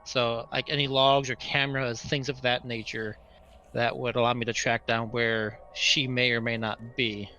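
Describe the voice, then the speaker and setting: A man's voice: mid-range voice, little pitch movement, moderate pace, sharp tone, lo-fi sound, faint music behind. A man chatting casually as a tabletop game player, tentatively thinking aloud about his next move.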